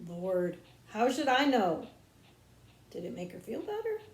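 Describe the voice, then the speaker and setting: A woman's voice: medium pitch, sing-song, unhurried, reverberant. A woman voicing an impatient, bossy country boy in an audiobook, with folksy, ungrammatical, blustering talk. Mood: grudging. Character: exasperated, impatient country schoolboy, bossy big brother. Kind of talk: audiobook character voice.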